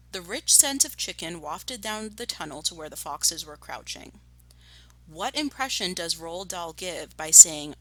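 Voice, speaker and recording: A woman teacher reading a storybook aloud and giving lesson instructions in a deliberate, explanatory way. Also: lowish voice, pushed and bright, poor audio. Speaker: primary school teacher.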